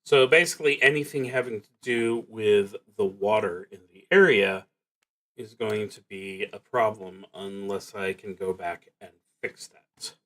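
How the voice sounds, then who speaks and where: Slow-paced man thinking aloud. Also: fairly low pitch, a bit bright. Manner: halting, musing, pauses mid-sentence. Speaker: solo tabletop roleplaying streamer. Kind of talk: thinking aloud while playing, reading out game prompts.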